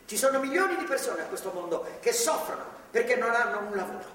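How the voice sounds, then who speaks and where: A woman's voice: fairly high pitch, animated, fast, fairly bright tone. An actress playing a devout, stern, grandmotherly older woman in a theatrical radio drama, with an earnest, moralizing manner. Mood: stern, indignant. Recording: distant, echoey hall, clearly noisy.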